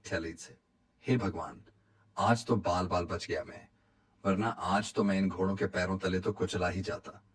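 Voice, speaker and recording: An actor playing a weary, anxious poor village father in a cartoon story, fretfully talking to himself in a husky voice. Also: low-pitched, fairly even intonation, a little slow. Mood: relieved, shaken.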